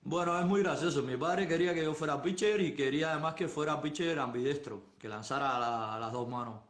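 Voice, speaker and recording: A middle-aged man from Cuba, telling his story slowly in a live-stream interview, pausing often to search for words. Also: a little high, reverberant. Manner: unhurried, thoughtful storytelling with many pauses and fillers. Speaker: softball coach. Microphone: phone on a live video stream.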